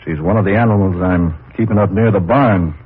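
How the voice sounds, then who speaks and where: An actor playing a stern, plain-spoken rancher and boss in an old radio drama, firm and folksy. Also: male voice, low voice, brisk. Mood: troubled suspicion. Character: stern, loyal male cattle rancher, troubled boss. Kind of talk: 1940s radio drama acting. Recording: muffled, husky old radio transcription.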